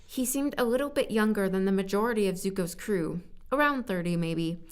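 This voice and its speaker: Female narrator, mid-range pitch, scripted story reading, halting, stumbles and retakes. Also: smooth. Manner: halting, stumbling over words and repeating takes. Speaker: voice actor recording as narrator.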